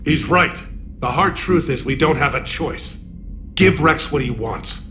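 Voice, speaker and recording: An impatient alien statesman in a cinematic game. His voice is raised in pitch and has a sharp, forceful edge. He speaks with clipped, pragmatic authority over music. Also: lively intonation, unhurried. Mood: firm, pragmatic. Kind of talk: cinematic video game acting.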